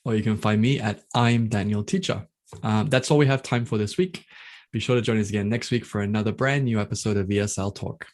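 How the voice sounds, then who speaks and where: A man's voice: on the low side, steady tone, a little fast, a little mellow. A man from the UK, co-hosting a podcast in a friendly way and signing off the episode. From United Kingdom. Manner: friendly, thanks guests and signs off. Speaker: podcast co-host.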